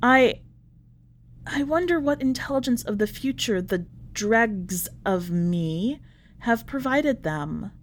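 A woman voicing a polite, chatty, quietly unhinged prisoner writing a letter, in a prim manner, as a dramatic story reading. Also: a little high, animated, slow, a little hiss or hum. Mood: wistful, musing. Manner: prim, ornate, politely understated phrasing.

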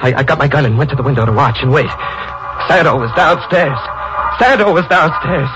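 An actor playing a guilt-ridden young husband in a 1940s radio drama, stammering and rising into panic. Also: male voice, fairly high pitch, brisk, music in the background, close to an everyday voice. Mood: frantic. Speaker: Tate, the narrator. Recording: lo-fi old radio recording.

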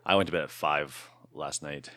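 Deep, hoarse man in his thirties. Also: moderate pace, pushed and bright. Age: 30s. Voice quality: raspy. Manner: rambling, with fillers and laughs. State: hoarse from shouting the night before. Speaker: podcast host. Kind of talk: casual podcast chat.

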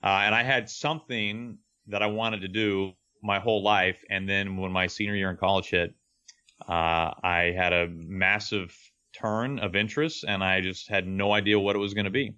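A young man with a deep voice, an earnest, reflective baseball broadcaster telling his story as an interview guest. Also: fairly even intonation, normal speed, pushed and bright, smooth.

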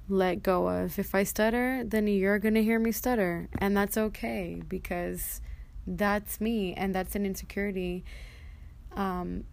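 A young adult woman hosting a personal motivational podcast, speaking casually and candidly in a halting way with an occasional stutter. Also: mid-range voice, gravelly. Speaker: podcast host. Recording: noticeable background noise.

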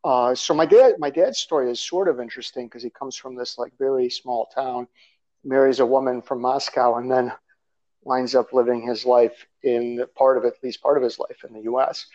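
A man's voice: mid-range voice, fairly even intonation, normal speed, a little mellow. A man from Russia, a guest author talking about his background in a loose, rambling way with fillers and small laughs. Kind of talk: conversational interview. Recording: slightly dull lo-fi audio.

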